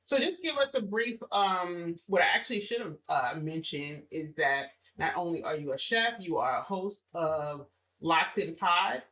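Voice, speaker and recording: Bright-voiced chatty woman podcast host. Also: on the low side, a little slow. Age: middle-aged. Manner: chatty, laughs often, restarts sentences. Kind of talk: casual interview chat.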